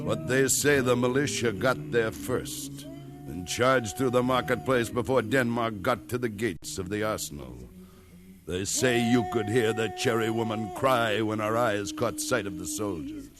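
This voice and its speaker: An actor narrating as a solemn folk storyteller in a rhythmic, poetic 1950s radio drama, with music behind. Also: male voice, fairly low pitch, measured pace, close to an everyday voice. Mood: grave, building. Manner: rhythmic, incantatory. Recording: old radio recording with loud music.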